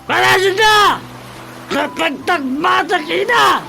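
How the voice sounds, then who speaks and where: A man playing a loud, defiant drunkard in a radio comedy drama, bellowing and whining in protest. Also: very high voice, expressive, slow, vocal fry, a little hiss or hum, heavily acted. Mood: drunken defiance.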